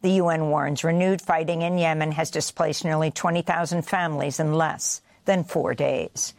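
A woman news anchor with an American accent reading scripted headlines in a measured, deliberate, emphatic way. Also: deep voice, fairly even intonation, creaky, a little mellow, no echo, clean studio sound. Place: broadcast studio.

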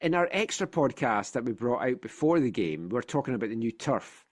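A man's voice: medium pitch, big pitch swings, fairly quick. A man hosting a casual football podcast, chatty and telling stories, sounding a little congested. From Scotland. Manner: chatty, storytelling, frequent restarts. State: congested from a sinus infection. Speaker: podcast host.

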